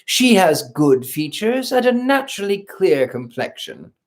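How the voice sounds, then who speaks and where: A reader voicing a suave, sardonic gentleman who speaks in measured, ironic tones in a storybook reading. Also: medium pitch, big pitch swings, pitched above the performer's own voice. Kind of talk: audiobook reading.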